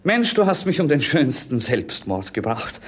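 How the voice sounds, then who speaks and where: An actor playing a young, world-weary romantic prince in lyrical, theatrical 1950s radio-play style. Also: male voice, medium pitch, sing-song, normal speed, husky. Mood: petulant. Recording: noisy 1950s radio recording.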